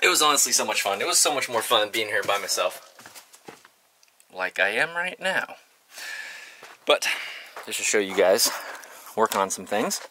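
A man's voice: on the low side, very animated, normal speed, pauses often, bright, forceful tone, slight background noise. A man talking casually and informally to a handheld camera as he works on a project, rambling as he explains. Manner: informal, rambling, talks while working. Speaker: vlogger, host. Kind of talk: casual DIY vlog narration to camera. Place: inside a converted school bus and outdoors beside it. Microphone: handheld camera at arm's length.

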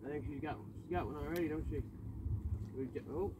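A man in his thirties filming a casual fishing vlog from a small boat on a lake, loudly and eagerly coaching his kids as they reel in fish. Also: fairly high pitch, fairly even intonation, fast. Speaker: fishing vlogger and father. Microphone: action camera mounted on the boat. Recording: outdoor wind and water noise.

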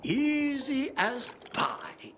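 An actor playing an excitable, stubbornly confident older tinkerer in a video game, with a creaky, insistent delivery. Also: male voice, very high-pitched, very slow, a little hiss or hum, exaggerated voice. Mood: agitated reassurance.

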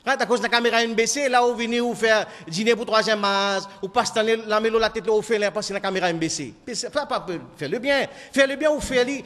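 High-pitched Mauritian preacher in a reverberant hall. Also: male voice, quick pace, hardly any pauses, a bit bright. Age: middle-aged. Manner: emphatic, repeating key phrases. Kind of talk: sermon. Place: indoor mosque hall. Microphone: microphone on a stand. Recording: echoey hall with long reverb.

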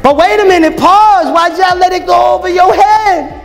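A man's voice: extremely high pitch, moderate pace, creaky, raised voice, faint music behind. A man in his thirties preaching at a conference in a large ballroom, joking and calling out to the crowd for answers. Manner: animated, joking, call-and-response with the crowd. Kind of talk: lively conference sermon. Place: large hotel ballroom with a live audience. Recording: long hall reverb, faint crowd.

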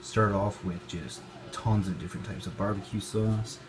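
A man's voice: on the low side, fairly even intonation, normal speed. A man hosting an informal, jokey amateur cooking vlog, narrating casually from a home kitchen. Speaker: amateur cooking show host. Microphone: handheld phone or camera. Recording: noisy handheld camera recording.

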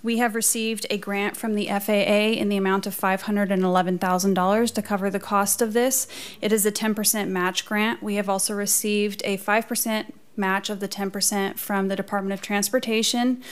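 A woman with a clear, smooth voice presents a staff report at a council meeting. Her delivery is measured and businesslike, with an even, flat intonation. She speaks into a podium microphone. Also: mid-range voice. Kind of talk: formal prepared report. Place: council chamber, indoors.

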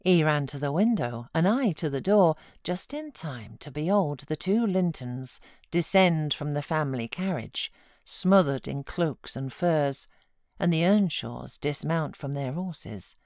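A woman's voice: sits low, animated, unhurried, a little mellow, no room sound, clean studio sound. A British woman reading a classic story aloud as scripted audiobook narration.

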